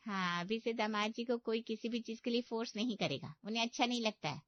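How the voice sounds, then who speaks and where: A woman's voice: a little high, brisk, no room sound, highs cut off, natural voice. A woman voicing a fussy, emphatic young female wedding relative in a Hindi comedy cartoon. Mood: polite, fussy.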